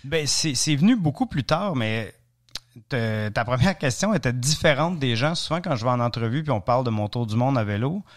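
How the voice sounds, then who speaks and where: This is a man in his thirties with a Franco-Ontarian French accent and a middle-pitched voice. He talks as a podcast guest, chatty and joking, and he often stops on fillers as he tells his stories. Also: lively intonation, a little fast. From Eastern Ontario, Canada. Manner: chatty, joking storyteller with many hesitations. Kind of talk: casual podcast interview.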